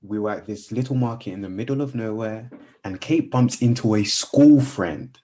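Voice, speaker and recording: A man's voice: lowish voice, moderate pace, mellow, natural voice. A man playing an earnest, guarded best friend in a radio play, sometimes hesitant. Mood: amused, fond. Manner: earnest, hesitant, evasive when pressed.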